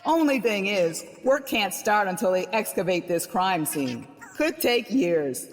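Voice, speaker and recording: A woman's voice: medium pitch, expressive, measured pace, lightly acted. An actress playing a smug, spiteful woman neighbor with a needling, blunt delivery in a comedic audio drama. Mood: gloating. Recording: long reverberant hall sound.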